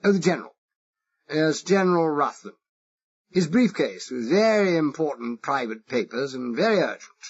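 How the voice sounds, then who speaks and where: A man's voice: on the high side, big pitch swings, moderate pace, slightly rough, pitched above the performer's own voice. Man reading: dithering posh English secretary, exaggerated upper-class accent, dull lo-fi sound. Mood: flustered posh. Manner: flustered, dithering, pompous. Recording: dull lo-fi recording.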